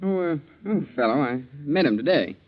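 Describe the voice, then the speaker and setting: A man's voice: fairly high pitch, animated, a little fast. An actor playing a lazy, insolent young man with a glib, self-satisfied manner in a 1940s radio drama. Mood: evasive. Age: young adult. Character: lazy, insolent, sly college-age son. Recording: muffled vintage 1940s radio recording.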